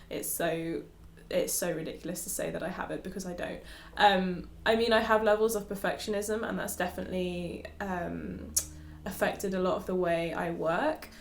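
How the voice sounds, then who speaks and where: A woman's voice: lowish voice, steady tone, normal speed, roomy sound, a little hiss or hum. A young woman in her twenties who grew up in Dubai, a yoga teacher chatting casually to camera, giggling often and using lots of fillers.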